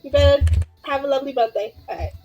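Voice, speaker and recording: A woman's voice: high-pitched, quick pace, darkish tone, dull audio, soft background music. A young woman co-streaming a game in casual, playful chat. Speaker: co-streamer playing along.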